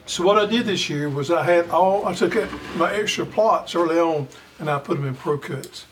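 A man hosting a garden radio show in casual chat, his voice slightly raspy as he recovers from illness. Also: fairly high pitch, moderate pace. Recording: distant mic, faint noise.

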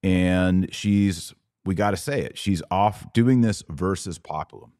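A man with a low-pitched voice, recorded close and dry, gives casual podcast commentary. He explains like a teacher, then slips into informal asides to his listeners. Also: unhurried, darkish tone, dry recording.

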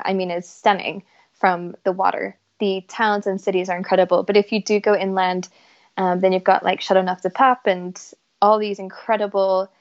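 Chatty woman with a mixed Canadian-British accent. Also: mid-range voice. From Isle of Man, raised in Canada. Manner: chatty, enthusiastic, many fillers. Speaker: yacht chief stewardess, guest. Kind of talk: casual podcast interview. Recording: slightly dull lo-fi audio.

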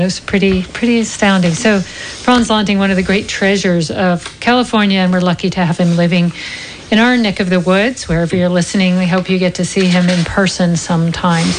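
A woman's voice: medium pitch, slight background noise, rough recording. A woman co-hosting a radio show, asking curious questions in an enthusiastic, casual way. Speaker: co-host.

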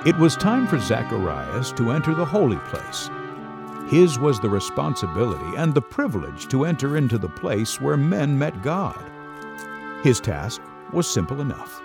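A man reads a dramatic story as narrator. His intonation moves a good deal and his voice has some creak, with music playing clearly behind him. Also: medium pitch, very animated, moderate pace.

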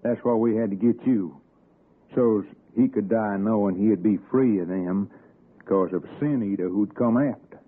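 A man's voice: on the low side, unhurried, breathy edge, phone-quality sound. An actor playing a stern, threatening mountain man with an Appalachian accent in an old radio suspense drama. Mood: menacing. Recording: thin 1960s radio with music.

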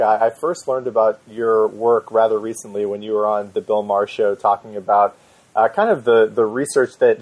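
Low-voiced hesitant male interview host. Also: dull audio. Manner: hesitant, repeats words, polite. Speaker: podcast host. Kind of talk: interview questions.

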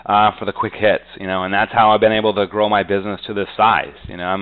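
A man's voice: lowish voice, a bit bright, smooth, poor audio. A man being interviewed as a real estate agent, talking conversationally and confidently, with frequent verbal tags. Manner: frequently punctuates talk with "you know".

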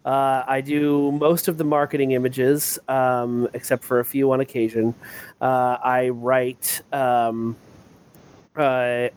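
A man in a relaxed podcast conversation, his mid-range voice stopping and starting as he fills gaps with ums and uhs. He laughs easily between thoughts. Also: mid-range voice, slow, clean tone. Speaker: game community manager, podcast guest. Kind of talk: casual podcast chat.

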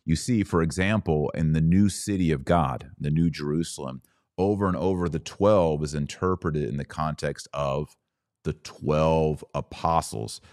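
A man with a deep, low voice hosts a religious teaching podcast. The recording is close and dry. He explains scripture emphatically, stretching important words and asking rhetorical questions to lead the listener along. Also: measured pace, a little mellow, dry recording. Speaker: podcast host.

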